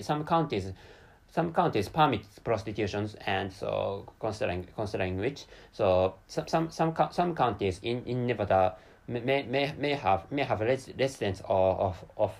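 A man's voice: medium pitch, creaky. A man with a Japanese accent, a non-native English speaker hosting a solo spoken episode, who halts and restarts often as he searches for words. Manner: halting, searches for words, many restarts and fillers. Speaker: podcast host.

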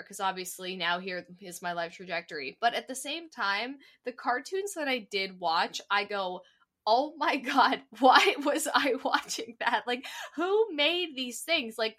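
A woman's voice: fairly high pitch, pushed and bright. A woman co-hosting a podcast in casual chat, playful and giggly. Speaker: podcast co-host.

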